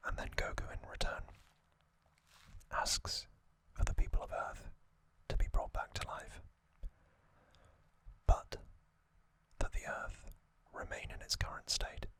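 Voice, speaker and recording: A man whispering an ASMR narration, telling a story gently in short, hushed phrases as the host. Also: slow pace, long pauses.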